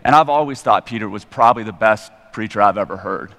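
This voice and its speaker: A man's voice: on the low side, fast talker, vocal fry. A man of forty with an American accent, giving an informal, self-deprecating personal testimony as a guest preacher in a large hall. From Colorado, United States. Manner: casual, self-deprecating, animated retelling of dialogue. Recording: reverberant hall.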